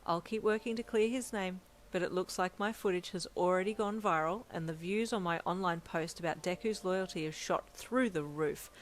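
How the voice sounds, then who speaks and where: A cheerful male newspaper editor, chatty and eager to help, speaking brightly and a little louder than the narration, as a woman puts him on. A faint hiss of noise sits under the voice. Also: lowish voice, moderate pace, hardly any pauses, natural voice. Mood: upbeat. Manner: bright and upbeat.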